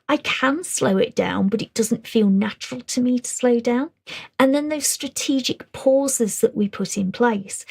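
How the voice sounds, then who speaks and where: A middle-aged woman presenting a webinar lesson, talking rapidly in a calm, fairly quiet voice. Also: a little high, gravelly, breathy edge. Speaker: webinar presenter on special educational needs. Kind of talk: webinar lecture.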